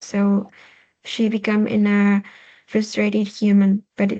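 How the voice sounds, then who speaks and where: A woman, a student answering in English on a video call, halting and searching for words. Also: medium pitch, monotone, dark tone, dull audio.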